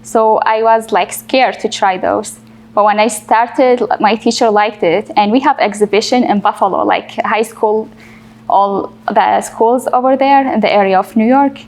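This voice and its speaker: A woman's voice: medium pitch, unhurried, darkish tone. A young woman from Iraq, a painter, answering interview questions in an earnest, plain way.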